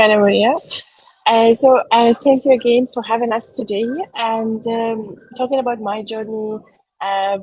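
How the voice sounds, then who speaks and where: Interview guest, woman in her 30s, husky, halting with filler pauses, dry sound. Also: mid-range voice, a little mellow, no echo, poor audio. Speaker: guest speaker. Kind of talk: career story in a conversational interview.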